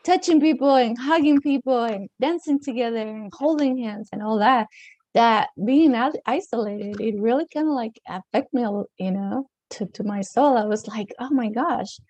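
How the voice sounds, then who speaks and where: A woman from Mexico with a Latin American Spanish accent, talking as an interview guest in a casual way. Her fairly high voice is mellow and soft-edged, and she often pauses to find words and laughs between phrases. The recording is dry and close. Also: dry recording, highs cut off. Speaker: guest, dance teacher.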